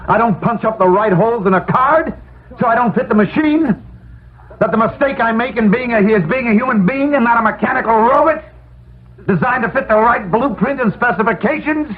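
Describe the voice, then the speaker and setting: An American man acting a bitter, despairing middle-aged husband who is out of work, in a halting, anguished 1970s radio drama style. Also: extremely high pitch. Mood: anguished outrage. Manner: halting, anguished, self-pitying. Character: despairing, bitter 48-year-old unemployed husband. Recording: tinny, noisy 1970s radio broadcast.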